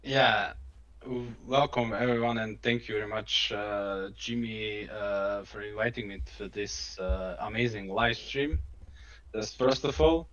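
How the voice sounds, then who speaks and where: Croatian-accented man speaking halting English. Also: on the low side, a bit bright, muffled recording. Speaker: guest on a trading live stream. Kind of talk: informal personal storytelling.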